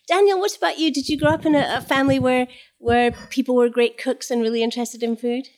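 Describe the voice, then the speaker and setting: A woman's voice: high-pitched, fairly even intonation, quick pace, darkish tone. A woman interviewing two chefs, asking engaged, encouraging questions.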